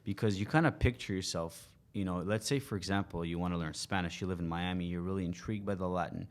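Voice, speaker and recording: A man's voice: deep voice, racing. A man in his twenties, a language coach, explaining his advice in a casual podcast interview, with many "you know" fillers. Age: 20s.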